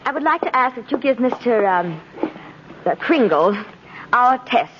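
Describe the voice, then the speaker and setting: A polite, businesslike woman, with a slightly high voice. The delivery is in 1950s radio drama style, on a muffled recording with clear noise. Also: very animated, a little slow. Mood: polite, brisk. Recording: muffled noisy old radio broadcast.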